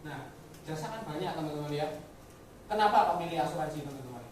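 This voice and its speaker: A woman's voice: deep voice, expressive, fast talker. A woman giving a motivational business talk to colleagues, often addressing listeners directly with rhetorical questions and dropping in English words. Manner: mixes English words into speech. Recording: very echoey room, distant mic, faint noise.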